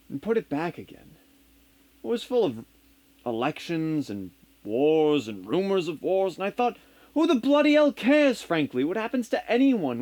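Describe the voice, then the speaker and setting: A man's voice: high voice, darkish tone, voice pushed up. A man playing a bored, world-weary upper-class Englishman of thirty-one from the 1930s, theatrical and pompous. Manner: theatrical, pompous, melodramatic self-pity. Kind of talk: comic stage monologue.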